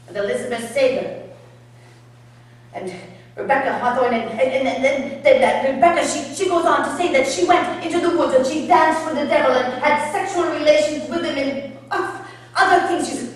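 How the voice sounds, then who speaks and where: A woman's voice: sits high, normal speed, natural voice. A woman playing a defiant Dutch colonist woman accused of witchcraft, scornful and indignant, with clipped non-native grammar. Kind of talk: storytelling performance in character. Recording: echoey room, distant mic, faint hum.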